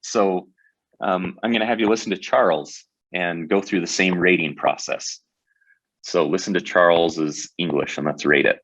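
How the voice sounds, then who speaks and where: A man's voice: low voice, fairly even intonation, moderate pace, a bit bright, no room sound, muffled recording. A man presenting a webinar in a conversational way, often restarting his sentences. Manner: conversational, with many fillers and restarts.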